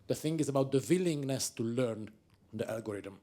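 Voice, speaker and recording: Audience member from Hungary, man, rapid delivery, mellow tone. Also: medium pitch. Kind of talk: conference floor remarks.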